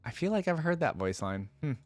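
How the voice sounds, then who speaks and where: A man's voice: on the high side, big pitch swings, fairly quick, a little mellow, no room sound. Casual man hosting a reaction video, dry sound. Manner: informal, chatty asides to viewers. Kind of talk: casual reaction commentary, reading lore aloud.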